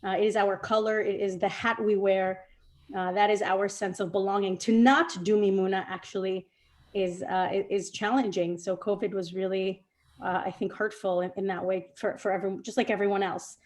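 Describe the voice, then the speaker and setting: A woman's voice: medium pitch, level tone, moderate pace, a little mellow. A young woman speaking on a video call, earnest and heartfelt, with plenty of fillers as she talks. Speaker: guest, chef's daughter. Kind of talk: casual speech on a video call.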